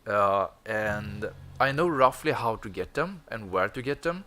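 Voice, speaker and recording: A man's voice: on the low side, lively intonation, a little slow, sharp tone. A man streaming a video game, explaining goals in a hesitant, filler-heavy way. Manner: hesitant, many ums and uhs. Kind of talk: casual gameplay commentary.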